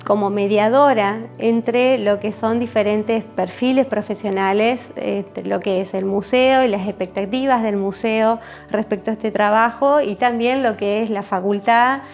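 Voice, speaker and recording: A woman's voice: mid-range voice, fairly even intonation, unhurried, hardly any pauses. A woman with an Argentine accent, explaining things in an interview as a communicator. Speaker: communicator interviewed about a university project. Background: music.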